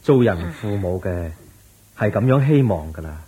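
Revered Buddha, Hong Kong Cantonese radio play: low, mellow, very husky, serene. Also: male voice, lively intonation, measured pace, full character voice. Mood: calm, kindly. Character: calm, kindly Buddha, a revered male teacher. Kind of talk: Buddhist radio drama acting.

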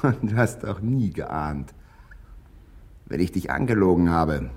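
An actor playing a needy, petulant young man in a radio drama, husky, moving between sulky muttering and bombastic ranting. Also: low-pitched, expressive, unhurried, darkish tone, full character voice. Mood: sullen confessing. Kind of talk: theatrical radio drama acting.

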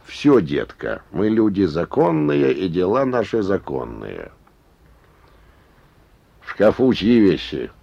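A man's voice: sits low, big pitch swings, slow, gravelly, pauses often, mellow, husky, exaggerated voice. An actor playing a stern policeman in a Russian radio drama, curt and official with a patronising edge. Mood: cold, condescending. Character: stern, condescending policeman conducting a search. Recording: dull old radio recording.

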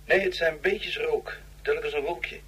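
An actor playing a puzzled, plain-spoken lookout making a report in a science fiction radio play. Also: male voice, a little high, steady tone, a little slow, a bit bright, husky, full character voice. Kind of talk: Dutch science fiction radio drama acting.

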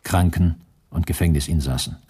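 A man's voice: very deep voice, steady tone, moderate pace, over loud music. A man playing a grim former soldier, giving sober, matter-of-fact testimony in a scripted radio drama.

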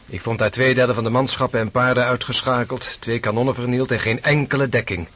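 An actor playing a firm, forthright young aristocratic officer in a radio drama, reporting formally with a slightly rough voice. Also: male voice, mid-range voice, steady tone, brisk, a bit bright, slight background noise, lightly acted. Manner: formal, clipped military report.

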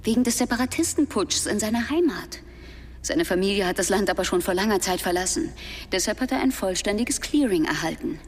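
A woman's voice: medium pitch, lively intonation, fairly quick, husky, big-room sound. A woman playing an inquisitive, modest investigator in a conversational radio drama scene. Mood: modest, confiding.

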